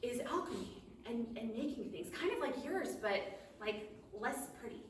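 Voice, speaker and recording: A woman voicing a laid-back, greedy male food critic who speaks in an offhand, slightly lowered voice, heard in an echoey room from some distance. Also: lively intonation, normal speed, slight background noise, close to an everyday voice.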